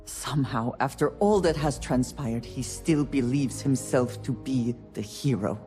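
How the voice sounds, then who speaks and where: A man playing a grave, reflective companion in a video game, speaking in a measured, deliberate way. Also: medium pitch, mellow, soft background music, natural voice. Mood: grave, disapproving. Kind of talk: video game character dialogue.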